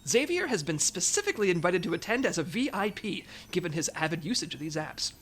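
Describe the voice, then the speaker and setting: A woman's voice: on the low side, very animated, quick pace, breathy edge, soft background music. A woman playing a businesslike, patient intelligence section chief giving a briefing in a comedy audio drama, authoritative and diplomatic.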